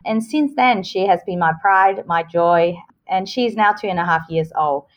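A woman's voice: on the low side, normal speed, dull audio. A woman in her forties who grew up in Australia after a Hong Kong childhood, talking earnestly and cheerfully as a podcast guest. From Hong Kong, raised in Australia. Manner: earnest, upbeat, explains with homely examples. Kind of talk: casual interview answers.

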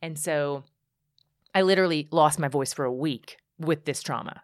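Low-voiced woman telling her story candidly. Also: normal speed, no echo, clean studio sound. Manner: candid, animated storytelling with many restarts. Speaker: podcast guest. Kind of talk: casual conversation.

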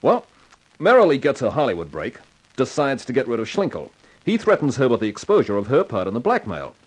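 A man's voice: mid-range voice, wildly swooping pitch, brisk, breathy edge, low-quality recording. A self-assured amateur sleuth in a 1950s radio mystery. His mid-range voice rises and falls freely. He talks in wry, hard-boiled slang and takes charge. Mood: confident. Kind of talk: 1950s radio crime drama acting and narration.

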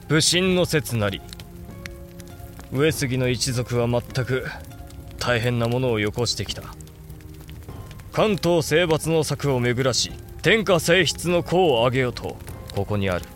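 An actor playing a brooding, resolute samurai lord and elder brother in a Japanese period audio drama, speaking gravely and with deliberate command. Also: male voice, medium pitch, animated, a bit bright, soft background music. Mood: intense, commanding.

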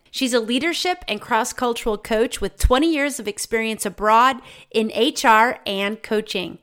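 A woman's voice: on the high side, fairly bright tone. A woman hosting an interview podcast, introducing and questioning a guest. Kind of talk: scripted intro, then friendly interview.

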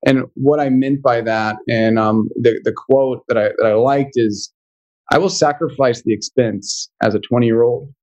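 A man's voice: mid-range voice, fairly even intonation, normal speed, a little mellow, dull audio. A man guesting on a podcast as a financial coach, talking earnestly and thinking aloud as he goes. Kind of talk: casual interview chat.

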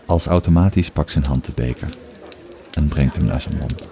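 A man's voice: extremely low pitch, normal speed, creaky. A man giving scripted present-tense narration as the narrator of an audio play.

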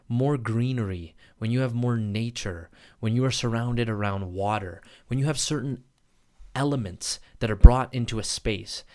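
A man's voice: mid-range voice, darkish tone. A man co-hosting a podcast, giving a casual, upbeat and rambling introduction full of fillers and small slips. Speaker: podcast co-host.

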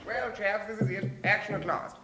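An English actor playing a polite, earnest army colonel with a clipped officerly manner in vintage radio comedy. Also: male voice, high-pitched, normal speed. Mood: businesslike. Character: genteel, earnest British army colonel briefing his men. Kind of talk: 1950s British radio comedy acting. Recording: very noisy old radio recording.

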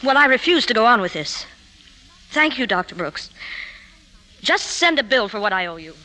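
A woman's voice: on the high side, big pitch swings, normal speed, noisy background. An actress playing a troubled, proud woman magazine editor in a 1950s radio drama, speaking in an emotional, clipped, imperious way. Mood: defensive, shaken. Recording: vintage 1950s radio broadcast.

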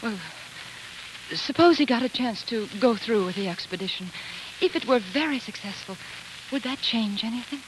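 A woman's voice: a little high, animated, moderate pace, background noise, natural voice. A woman acting a headstrong, devoted young American wife in a 1940s radio drama, with earnest, emphatic theatrical delivery. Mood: determined. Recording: 1940s radio broadcast with music.

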